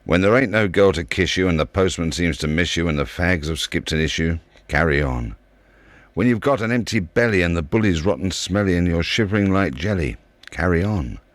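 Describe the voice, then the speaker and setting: A man's voice: low voice, expressive, a little fast, dry recording. A man reciting poetry with a rhythmic, emphatic delivery.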